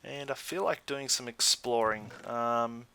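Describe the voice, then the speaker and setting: An Australian man giving casual, chatty let's play commentary in an easygoing way, thinking out loud as he goes. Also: mid-range voice, fairly even intonation, a little slow, clear, resonant tone. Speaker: gaming YouTuber.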